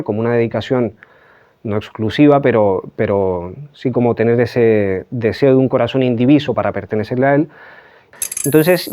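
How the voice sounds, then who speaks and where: A Chilean man in his thirties, a priest, recounting his vocation in a soft, halting way with many fillers. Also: medium pitch, highs cut off. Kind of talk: reflective interview testimony.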